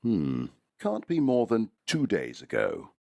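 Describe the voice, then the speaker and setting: A man's voice: fairly low pitch, wildly swooping pitch, slow pace, gravelly, warm tone, no echo, studio quality, full character voice. A man playing a helpful, hesitant Victorian silversmith in an audio drama. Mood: thoughtful, recalling.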